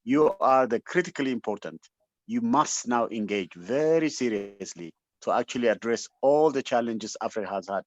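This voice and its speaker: A man's voice: lowish voice, no room sound. A man with an Ethiopian accent giving an impassioned, unscripted motivational address as a webinar speaker, emphatic and exhortative, often repeating himself for weight. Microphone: online call microphone. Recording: dull, lo-fi webinar audio.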